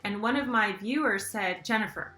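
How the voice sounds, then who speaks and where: A woman hosts an interview over a video call, effusive and quick to laugh. Her voice is bright and forward and rings in a roomy, echoey space. Soft music runs faintly behind her. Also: mid-range voice. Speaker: host.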